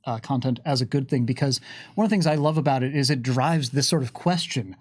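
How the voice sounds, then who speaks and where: A man hosting a livestream Q&A in an unscripted discussion, sometimes halting as he searches for words. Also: medium pitch, dry recording. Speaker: host of a livestream Q&A.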